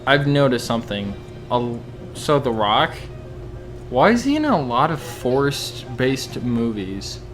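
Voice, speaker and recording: A man's voice: mid-range voice, big pitch swings, measured pace. Brief: male host for an informal podcast, mid-range voice, light music in the background. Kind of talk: casual podcast chat.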